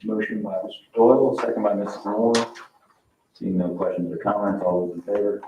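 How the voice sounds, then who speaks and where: Low-voiced man chairing a meeting. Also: fairly even intonation, a little fast. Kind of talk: formal meeting procedure. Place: public meeting room. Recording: roomy, distant, muffled mic with noise.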